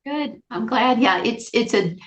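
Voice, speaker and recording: A woman clinical psychologist, a guest on a podcast, explaining her ideas in a lively, conversational way. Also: a little high, a little slow. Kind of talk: conversational interview.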